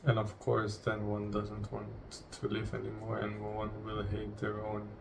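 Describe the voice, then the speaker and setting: A man leading a guided meditation in a solemn, deliberate way, speaking in short, broken phrases. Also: on the low side, monotone. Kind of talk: guided meditation, spoken slowly and reflectively. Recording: distant mic with clear background noise.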